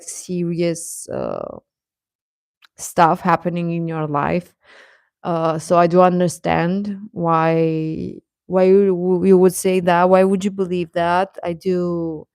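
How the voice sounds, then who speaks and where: A woman hosting a livestream, chatting casually and intimately with viewers in a halting, confiding way. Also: fairly low pitch, darkish tone, dry recording, clean studio sound.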